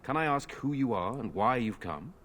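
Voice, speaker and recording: A man's voice: mid-range voice, animated, fairly bright tone, natural voice. A male actor playing a formal, courteous lord burdened with protecting his village in a fantasy video game. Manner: formal, measured. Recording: game audio with faint ambient noise.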